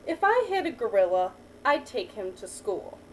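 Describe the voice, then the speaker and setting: A woman teacher reading a picture book aloud to a child, pausing to ask questions and praise the answers. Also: mid-range voice, big pitch swings. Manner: patient, encouraging, asks and praises. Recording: distant mic with faint background noise.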